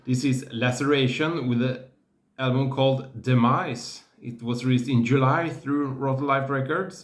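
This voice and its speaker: A man reviewing music releases in a casual, hesitant way, pausing often with fillers. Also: medium pitch, echoey room. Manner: slow, hesitant, many fillers.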